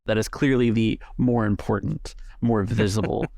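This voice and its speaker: A man narrating a tabletop game as game master, casually improvising descriptions in a halting way. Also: on the low side, steady tone, a little mellow, no echo, studio quality.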